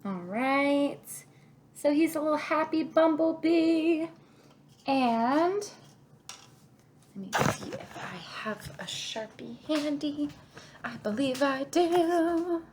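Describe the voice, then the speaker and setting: Lady kindergarten teacher doing a craft lesson, talks slow, thinks out loud, encouraging. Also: sits high, lively intonation. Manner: encouraging, thinking aloud, asks the listener questions. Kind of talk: children's craft lesson, talking through each step.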